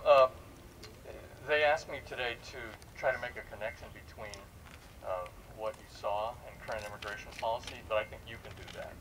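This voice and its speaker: Man questioning students, distant mic. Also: medium pitch. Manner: probing, rhetorical questions, repeats words. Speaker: panel speaker leading audience discussion. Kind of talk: informal talk, questioning students. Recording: distant mic, noisy room.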